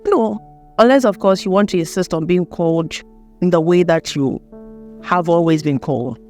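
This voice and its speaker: A woman hosting a casual interview chat. She talks in a lively way, laughs often and asks long, rambling questions. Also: fairly low pitch, moderate pace, soft background music. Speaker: host.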